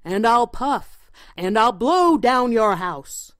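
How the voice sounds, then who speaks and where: A woman voicing a big bad male wolf from a children's storybook, roaring drawn-out, menacing threats. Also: on the high side, very animated, no echo, studio quality.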